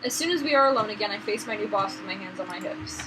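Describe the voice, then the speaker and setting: A woman's voice: medium pitch, lively intonation, quick pace, music in the background. A woman hosting a let's play, reading visual novel narration aloud. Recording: noisy room recording, no proper mic.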